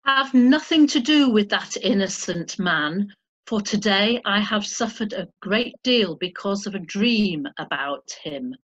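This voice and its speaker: A woman's voice: medium pitch, lively intonation, unhurried, a bit bright. A woman playing a governor's troubled wife in an amateur Bible drama, earnest and formal. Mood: troubled warning. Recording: dull lo-fi home recording.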